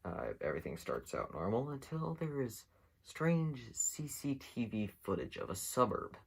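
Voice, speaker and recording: A man giving casual, unscripted commentary as a video host, rambling along with halting pauses. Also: medium pitch, very animated, vocal fry, breathy edge. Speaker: host of an internet mystery series.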